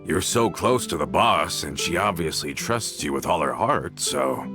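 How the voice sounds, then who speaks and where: A man playing an earnest, loyal henchman in a video game, talking in a formal, respectful, measured way. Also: low-pitched, expressive. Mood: earnest, curious. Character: earnest, courteous male henchman, loyal and deferential. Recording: soft game music behind.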